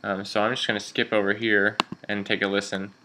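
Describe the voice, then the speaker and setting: A man working as a radio instructor, explaining software step by step in an informal, instructional way. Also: lowish voice, level tone, fairly quick, background noise.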